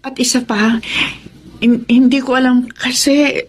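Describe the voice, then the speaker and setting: A woman's voice: high voice, flat delivery, measured pace, breathy edge. An actress in a Tagalog radio drama playing a middle-aged mother who speaks hesitantly and pleadingly. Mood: anxious, pleading. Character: Amanda, apologetic mother confessing a rekindled romance.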